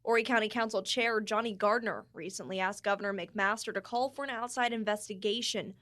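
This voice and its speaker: A woman's voice: medium pitch, brisk, a bit bright. A woman narrating a scripted TV news report as a reporter.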